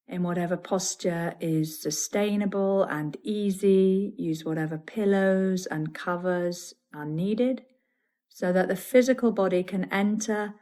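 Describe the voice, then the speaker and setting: Female meditation teacher, lowish voice, very slow pace, soothing, deliberate pauses between phrases. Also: darkish tone. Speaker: yoga nidra teacher. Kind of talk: guided meditation.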